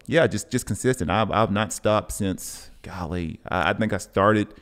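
Low-pitched man, halting and reflective. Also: a bit bright. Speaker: podcast host, coach. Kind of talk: casual interview chat.

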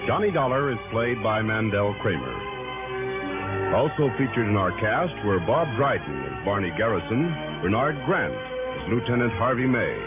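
American guy doing old radio show credits, lowish lively voice, tinny, loud music behind. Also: normal speed. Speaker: radio announcer. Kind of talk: 1960s radio announcing, scripted credits.

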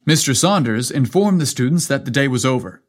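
A man's voice: medium pitch, lively intonation, a little fast. A man reading a scripted story aloud as its storyteller.

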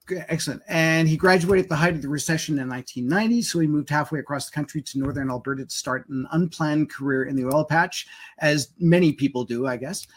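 A man's voice: a little high, quick pace. A man hosting a live webinar over a video call, chatty and promotional, with many filler words as he introduces a guest. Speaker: webinar host.

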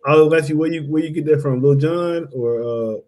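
A man hosts a podcast and jokes around with his guest. He talks fast with a mellow, dark tone, tossing off quick questions and wrap-ups. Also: a little high. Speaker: podcast host, interviewer. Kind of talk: casual interview chat.

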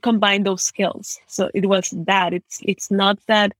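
A woman's voice: medium pitch, measured pace, no echo. Chatty young woman from Ecuador. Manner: chatty, uses lots of "like", animated storytelling. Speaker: guest. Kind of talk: casual interview conversation.

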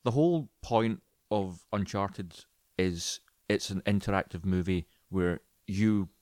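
A man's voice: deep voice, slow pace, darkish tone, no room sound, clean studio sound. A man co-hosting a casual podcast, giving his opinions and often acting out imagined reactions. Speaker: podcast co-host and film reviewer.

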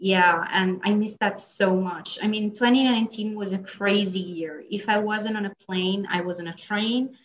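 A woman's voice: mid-range voice, steady tone, moderate pace, hardly any pauses, lo-fi sound. Italian-accented woman, chatty podcast guest. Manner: chatty, laughs often, many fillers and restarts. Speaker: guest interpreter and translator. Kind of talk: casual podcast interview.

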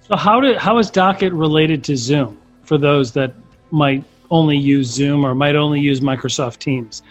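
A man's voice: fairly high pitch, moderate pace, lo-fi sound, faint music behind. A man hosting a podcast interview, chatty and casual, with frequent stumbling restarts. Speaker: podcast host.

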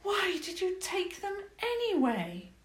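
Woman doing a high, earnest young princess. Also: huge pitch swings, slow pace, exaggerated voice. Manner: earnest and formal. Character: polite, brave young princess. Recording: echoey room.

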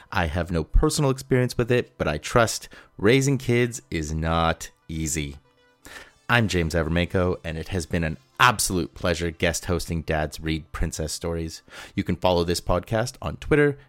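A man's voice: low-pitched, dry recording. A man giving a scripted podcast sign-off as guest host. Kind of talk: podcast outro announcement. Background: music.

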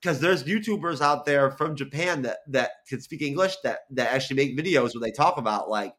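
A man's voice: medium pitch, animated, fairly quick, a bit bright. A man of forty-one, a guest on a video call, talking casually, rambling with lots of restarts and easy swearing. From Florida. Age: 40s. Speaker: guest, content creator. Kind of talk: casual interview chat.

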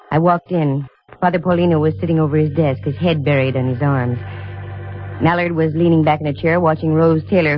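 A woman playing a sharp, self-assured private detective in a 1950s radio drama, with a crisp, wry, hard-boiled delivery. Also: low voice, natural voice. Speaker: lead actress and narrator. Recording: dull, lo-fi 1950 radio recording.

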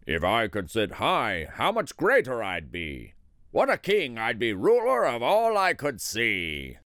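A man voicing a boastful, power-hungry turtle king from a children's storybook, with pompous, commanding, drawn-out proclamations. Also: mid-range voice, huge pitch swings, a bit bright, no room sound, clean studio sound, full character voice. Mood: grumbling, scheming.

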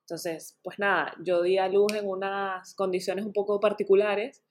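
A woman's voice: mid-range voice, level tone, moderate pace. A woman, a naturopath guest, talking in a casual interview in an earnest way and often restarting her words.

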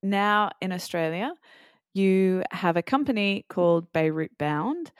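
A woman's voice: mid-range voice, a little slow, no echo, clean studio sound. A woman interviewing a guest, asking curious, encouraging questions.

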